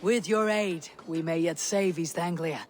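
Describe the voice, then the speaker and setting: A woman playing a stern, resolute Viking raider leader in a game, speaking in a blunt, measured, commanding way. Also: lowish voice, natural voice.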